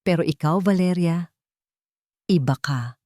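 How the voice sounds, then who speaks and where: A woman voicing an earnest, gentle young wealthy man, remorseful and in love, in an audiobook drama. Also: on the low side, unhurried, dry recording, studio quality, lightly acted.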